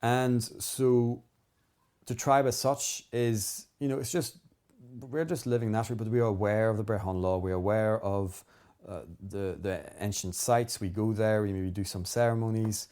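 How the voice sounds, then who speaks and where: A man's voice: lowish voice, steady tone, normal speed, warm tone. A man with a Northern Irish accent, speaking as an interview guest in a rambling, earnest way with many fillers. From Northern Ireland. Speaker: guest herbalist. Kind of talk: casual conversation.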